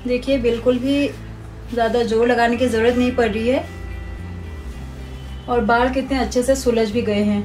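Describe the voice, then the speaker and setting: Young woman gently explaining a hair routine. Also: a little high, moderate pace, pauses often, far from the mic, faint music behind, rough recording. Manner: calm, instructive, steady. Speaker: beauty vlogger. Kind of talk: step-by-step tutorial. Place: small room indoors.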